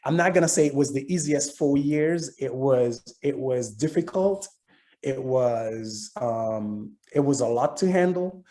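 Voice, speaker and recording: A man's voice: medium pitch, a little slow, dark tone. A middle-aged man with a Haitian accent, telling a personal story casually as a panelist.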